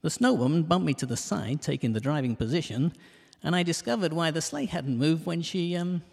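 A man playing a cheeky, self-mocking detective who narrates a comic audio drama in rhyme, landing puns deadpan. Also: a little high, a little fast, vocal fry, husky, exaggerated voice. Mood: wry storytelling. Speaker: narrator and lead character. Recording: reverberant hall sound.

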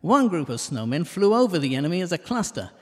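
A man playing a cheeky, self-mocking detective who narrates a comic audio drama in rhyme, landing puns deadpan. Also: fairly high pitch, fast. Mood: wry storytelling. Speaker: narrator and lead character. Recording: reverberant hall sound.

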